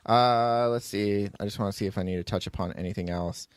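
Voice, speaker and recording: A man's voice: on the low side, fairly quick, clean tone, dry recording, clean studio sound. A man hosting a podcast in casual, unscripted chat, talking informally in a rambling way and often restarting his own sentences. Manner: rambling, informal, self-interrupting. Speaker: podcast host, writer and producer.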